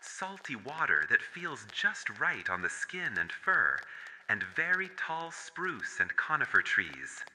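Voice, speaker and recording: A man's voice: mid-range voice, sing-song, slow pace, sharp tone, slight background noise, heavily acted. A man voicing a traumatised furry cult survivor in an audio horror drama, speaking in a solemn, confessional way. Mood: wistful, subdued. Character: traumatised male furry cult survivor with nature powers, haunted. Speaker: voice actor. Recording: long hall-like reverb.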